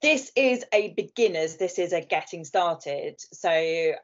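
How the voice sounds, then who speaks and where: A woman's voice: on the low side, animated, slow. A woman with a southern English accent presenting to an online group, friendly and chatty. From southern England. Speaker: guest speaker, marketing presenter. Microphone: video call. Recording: dull lo-fi video call audio.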